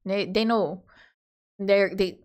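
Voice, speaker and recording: A woman's voice: mid-range voice, takes it slowly, darkish tone. A woman from Hawaii co-hosting a casual podcast with her husband, rambling through her points with lots of asides and fillers. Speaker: podcast co-host. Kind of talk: casual opinionated chat.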